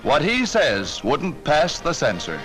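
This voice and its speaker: A man's voice: on the high side, wildly swooping pitch, slow pace, rough recording. An American man reading a scripted 1940s newsreel narration in an urgent, dramatic, declamatory manner on a vintage soundtrack. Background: loud music.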